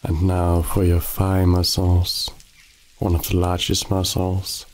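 A man guiding a sleep meditation in a slow, soothing, calm voice. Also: low-pitched. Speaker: meditation guide. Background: rain sounds.

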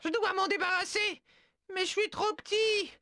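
A woman voicing a tiny, excitable male animal who frets and stammers. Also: very high voice, normal speed, dry recording, clean studio sound. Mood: fretful. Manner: fretful, stammering. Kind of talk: video game dubbing.

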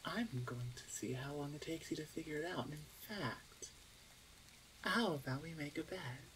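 A woman playing a teasing, smug older orc shaman sister in an ASMR roleplay, with a playful, drawn-out, self-assured delivery. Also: deep voice, fairly bright tone, slight background noise, rough recording. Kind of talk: ASMR roleplay audio drama.

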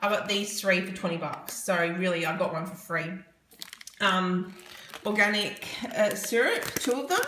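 A young woman with an Australian accent talks casually and at a middle pitch, filling gaps with ums as she tallies prices. She is recorded on a phone in her home kitchen. The room is echoey, and loud household noise sits behind her voice. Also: expressive, moderate pace. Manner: chatty, many ums, rambling price tallies. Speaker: vlogger. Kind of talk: casual grocery haul chat. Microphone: phone camera held over the counter. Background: husband and dog heard.

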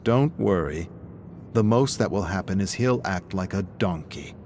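A man voicing a confident, vengeful office underdog in a narrated story, deliberate and self-assured. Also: sits low, animated, a little mellow, a little hiss or hum.

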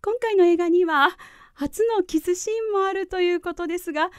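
An actress playing an exasperated interviewer in a comedic voice drama, with sharp-tongued straight-man retorts. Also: very high-pitched, brisk, no room sound, clean studio sound. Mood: formal. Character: exasperated interviewer quick to snap back.

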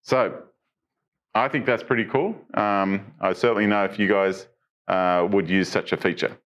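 A middle-aged man presenting tech news on a studio stage, talking casually through software features, asking viewers questions, with frequent ums and restarts. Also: on the low side, steady tone, normal speed. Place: studio stage with dark backdrop.

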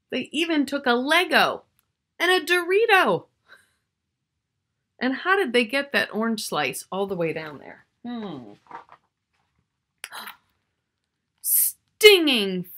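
A middle-aged woman reads a picture book to small children in a high, very lively voice. She takes her time, stretching key words and turning to the listener with questions and asides. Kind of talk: animated picture-book read-aloud for young children. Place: small furnished room at home, indoors.

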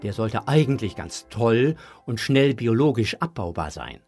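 A man narrating a children's audio drama in a measured, expressive storytelling manner. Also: mid-range voice, faint music behind.

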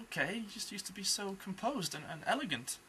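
A woman's voice: medium pitch, animated, fairly quick, sharp tone, husky, full character voice. A young woman playing a bewildered dead grandson ghost, squealing when upset. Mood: uneasy. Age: young adult. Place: large private home.

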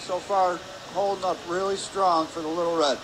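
A man with a high-pitched voice gives color commentary at a hockey game. He talks through stats and analysis conversationally, halting a little over his phrasing. Clear rink noise sits behind his voice. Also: fairly even intonation. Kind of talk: live sports analysis with statistics. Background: ice rink ambience.

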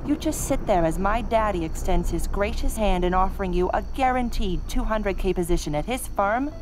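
An actress playing a bitter, sarcastic wife who taunts and clings in a horror drama. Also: female voice, medium pitch, lively intonation, normal speed, noisy background, lightly acted. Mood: contemptuous. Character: scornful, bitter, clinging wife, mocking and venomous. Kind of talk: scripted audio horror drama.

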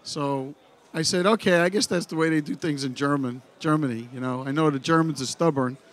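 A man's voice: fairly high pitch, a little fast. Blunt Brooklyn man talking on stage. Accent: Brooklyn New York. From United States. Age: middle-aged. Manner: blunt, animated storyteller, full of "you know". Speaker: pinball company head giving a seminar. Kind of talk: informal conference talk. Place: dark expo hall stage. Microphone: handheld microphone.

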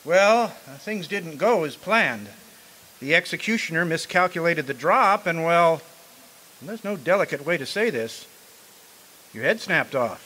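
A man's voice: a little high, animated, a little slow, bright, forceful tone, full character voice, poor audio. A man playing an awkward, good-humoured newsman with a hesitant manner, in a radio drama.

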